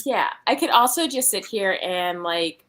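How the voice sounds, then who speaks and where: A woman's voice: mid-range voice, lively intonation, unhurried, a bit bright, a little hiss or hum. A woman chatting informally as co-host of a casual livestream, in easy back-and-forth banter.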